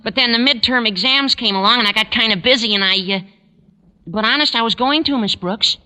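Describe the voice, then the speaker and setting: An actor playing an eager, awkward, lovestruck high school boy with a squeaky adolescent voice in a 1940s radio sitcom. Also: brisk, bright, forceful tone, smooth, exaggerated voice. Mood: eager chatter. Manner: gushing, hesitant, earnest. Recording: old 1940s radio broadcast.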